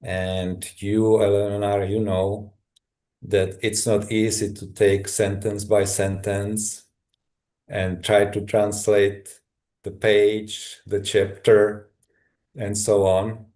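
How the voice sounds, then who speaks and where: A middle-aged man from Czechoslovakia with a Czech accent, a guest telling his story in a halting, thoughtful way with many restarts. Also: lowish voice, monotone, warm tone. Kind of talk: personal story in a conversation.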